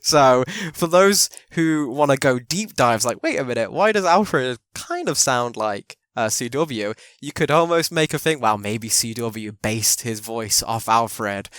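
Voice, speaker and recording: A man's voice: medium pitch, lively intonation, measured pace, hardly any pauses, a bit bright, smooth, no echo, clean studio sound. A man hosting a podcast interview in a chatty way, laughing often and using lots of fillers. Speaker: podcast host. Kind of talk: casual interview chat.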